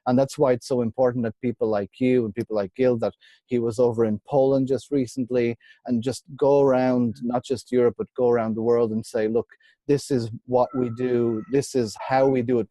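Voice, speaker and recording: Chatty, mellow host from Portugal. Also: male voice, medium pitch, fairly even intonation, normal speed, no room sound, low-quality recording. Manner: chatty, laughs often, repeats words. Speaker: podcast host. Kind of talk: casual interview chat.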